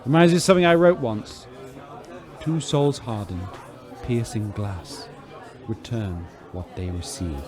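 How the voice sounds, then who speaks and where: An actor playing a self-doubting, poetic spaceship captain in a sci-fi radio drama, rambling theatrically. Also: male voice, on the low side, measured pace, music in the background, a crowd in the background, natural voice. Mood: musing.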